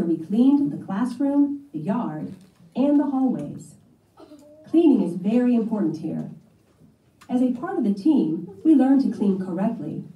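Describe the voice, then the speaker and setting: A woman's voice: fairly high pitch, phone-quality sound. A woman narrating a children's storybook in the first person as a scripted read-aloud. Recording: roomy playback from a distant mic.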